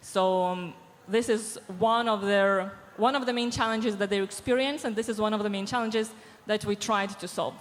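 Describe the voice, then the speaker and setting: A woman, answering panel questions through a handheld mic, measured and pausing with many fillers. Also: medium pitch, fairly even intonation. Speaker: panelist from a diversity organization. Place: large event hall stage. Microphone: handheld microphone. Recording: reverberant hall sound.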